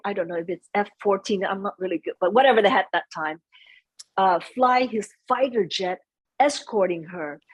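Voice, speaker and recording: A soft-spoken middle-aged woman speaking as a guest in an interview, chatting about family, sometimes slipping into Vietnamese phrases. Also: medium pitch, husky, low-quality recording. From United States. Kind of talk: conversational interview.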